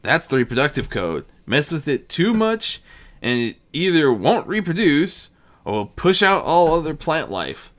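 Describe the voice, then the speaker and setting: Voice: mid-range voice, sing-song, a little slow. A storyteller voicing an irritable male plague demon prince, grumbling and scheming. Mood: scheming, careful.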